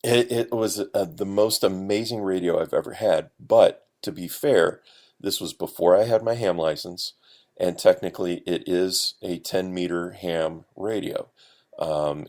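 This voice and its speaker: A man from the United States talking as a podcast guest, telling stories casually in a halting, explanatory way. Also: low-pitched, a little mellow. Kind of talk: casual conversational storytelling.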